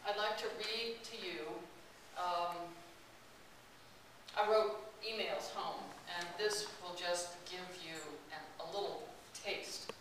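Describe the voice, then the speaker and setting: Woman speaker, chatty storyteller, somewhat low voice, distant mic with steady hiss. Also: slow. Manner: storytelling, chatty, laughs often. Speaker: speaker giving a talk. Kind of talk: personal travel talk to an audience. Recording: distant, roomy, hissy recording.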